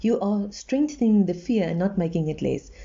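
Earnest woman, mellow voice. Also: medium pitch, lively intonation, moderate pace, highs cut off. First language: Afrikaans. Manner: earnest, reassuring, repeats for emphasis. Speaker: relationship advisor. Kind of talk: advice monologue.

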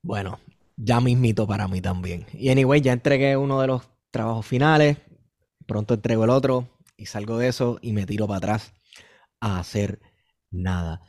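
A man's voice: on the low side, normal speed. Puerto Rican man hosting a podcast. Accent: Puerto Rican Spanish. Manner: relaxed, chatty, filler-heavy. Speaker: podcast host. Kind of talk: casual conversational podcast.

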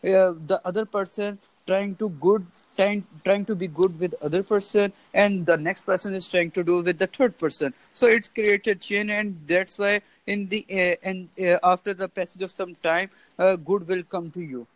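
Halting young man, high voice. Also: fairly even intonation, a little hiss or hum, poor audio. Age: 20s. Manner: halting, many restarts and fillers. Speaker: conversation partner. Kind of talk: casual chat.

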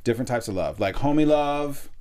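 A man's voice: mid-range voice, expressive, brisk, darkish tone. Man, fan show co-host, playful, teasing, upbeat with viewers. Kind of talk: casual fan recap chat.

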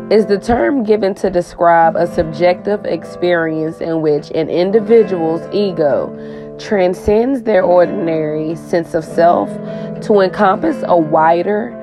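A woman life coach with a raspy voice, giving a spiritual coaching talk and tagging her lines with "okay?". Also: fairly low pitch. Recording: guitar music playing under the voice.

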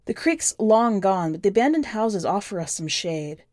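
A woman reading scripted first-person fiction as a podcast narrator, with measured, deliberate phrasing. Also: medium pitch, sing-song, darkish tone, dry recording, clean studio sound. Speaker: podcast host and story narrator.

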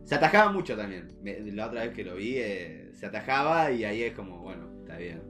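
A man's voice: on the low side, sing-song, a little fast, fairly bright tone. Young Argentine man chatting over guitar music. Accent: Rioplatense Argentine. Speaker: podcast co-host. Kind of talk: casual podcast chat.

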